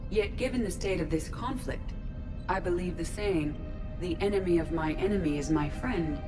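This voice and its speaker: Calm, precise female AI, voiced by an actress. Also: fairly low pitch, music in the background, close to an everyday voice. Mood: calm, analytical. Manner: formal, measured, precise. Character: polite, logical female artificial intelligence, calm and precise. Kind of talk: dramatic video game dialogue.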